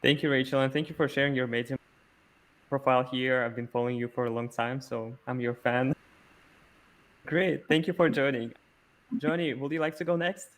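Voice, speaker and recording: Man, mid pitch, moderator, gracious, admiring, video call. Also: steady tone, brisk. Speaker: panel moderator.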